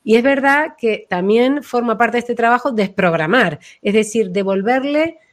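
A woman explaining her own method as a voice practitioner in an expressive, conversational way, illustrating her points as she goes. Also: on the high side, normal speed. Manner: expressive, acts out names and quotes to illustrate points. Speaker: voice and name-energy practitioner being interviewed.

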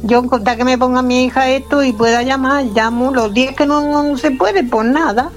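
An older woman from southern Spain, phoning a radio show, warm and hesitant, often repeating herself. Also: sits high, steady tone, normal speed, faint music behind. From Motril, Spain. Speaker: radio caller.

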